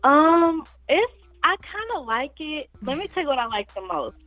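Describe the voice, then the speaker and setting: A woman's voice: high voice, sing-song, moderate pace, phone-quality sound. A woman, a singer talking casually about her music in an interview and often laughing. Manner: chatty, laughs often, addresses listeners as y'all. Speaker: guest singer.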